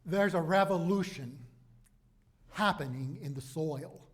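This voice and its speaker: Man, pastor, sermon delivery, higher-pitched voice, very slow pace, emphatic phrasing, dramatic pauses. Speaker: pastor preaching.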